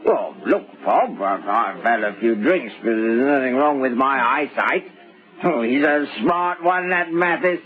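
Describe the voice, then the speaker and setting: An actor playing a tipsy, hesitant gossip in an old-time radio drama. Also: male voice, medium pitch, a little slow, phone-quality sound, lightly acted. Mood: tipsy, sly. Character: tipsy, gossiping male drinking acquaintance. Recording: band-limited old radio recording.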